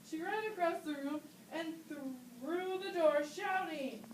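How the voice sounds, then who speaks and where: A woman's voice: sits high, slow. A woman narrator telling a scripted children's story for a puppet show. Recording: distant roomy recording with faint noise.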